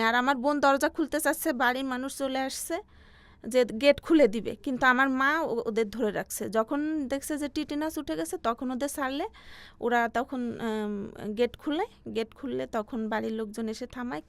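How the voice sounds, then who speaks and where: A woman in her forties with a Bangladeshi accent, telling her life story as an interview guest in a halting way, circling back over her phrases. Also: high-pitched, no room sound. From Bangladesh, Faridpur region. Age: 40s.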